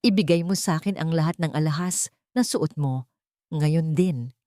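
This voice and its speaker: A woman's voice: low-pitched, measured pace, mellow, no room sound, clean studio sound. A woman voicing a haughty, greedy young female rival in an audiobook melodrama, sneering and demanding in a theatrical way. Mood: accusing, demanding.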